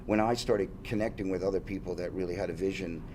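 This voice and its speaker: A man in his sixties with a lowish voice, a Finnish speaker from childhood, talking through his life story in a loose, rambling interview chat. He laughs easily and trips over his restarts. A faint hiss of background noise sits under his voice. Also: fairly even intonation, quick pace, darkish tone. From United States, Pennsylvania area. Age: 60s. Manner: rambling and good-humored, laughs often, frequent restarts. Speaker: guest, drummer.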